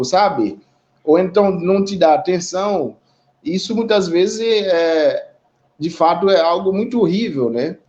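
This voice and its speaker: A Haitian man speaking as a community guest in a live online discussion, talking in a measured, reflective way with frequent pauses and tag questions. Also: a little high, a little mellow, muffled recording. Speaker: guest representing an immigrant community.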